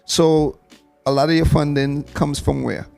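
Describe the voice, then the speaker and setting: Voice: medium pitch, little pitch movement, unhurried, gravelly, a little mellow, soft background music. An interviewer in casual chat, playful, often stammering and repeating words.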